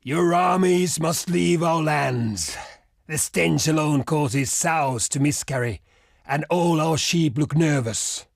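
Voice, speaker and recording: An actor playing a proud, gruff barbarian chieftain in a video game, declaiming portentously in a gravelly, husky voice. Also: male voice, a little high, slow pace, no room sound, clean studio sound, exaggerated voice. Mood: stern, solemn. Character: proud, gruff barbarian chieftain envoy, earthy, superstitious, warlike. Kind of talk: theatrical video game diplomacy voice lines.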